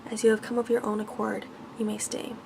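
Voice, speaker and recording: A woman voicing a stern, courteous beast lord in a storybook, formal, calm and commanding, in a soft bedtime reading. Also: medium pitch, a little fast, creaky, background noise, close to an everyday voice.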